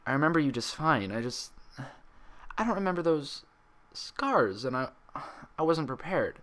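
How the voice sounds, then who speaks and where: A man's voice: a little high, lively intonation, moderate pace, a bit bright, voice pushed up. A man voicing an earnest, anxious young female cartoon pony with an emotional delivery, read from a story. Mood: guilty, apologetic.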